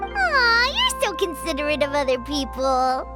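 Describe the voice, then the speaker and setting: A woman voicing a small, cheerful, childlike fairy companion in a video game, squeaky and eager. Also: huge pitch swings, a little slow, full character voice. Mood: delighted. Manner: chirpy, eager. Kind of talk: video game character voice acting. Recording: music behind the voice. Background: loud tinkly game music.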